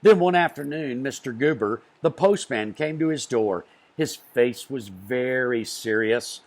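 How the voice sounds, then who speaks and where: A man reads a children's picture book aloud. His mid-range voice moves through wide rises and falls, and he phrases each beat deliberately. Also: medium pitch, lively intonation. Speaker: children's storyteller.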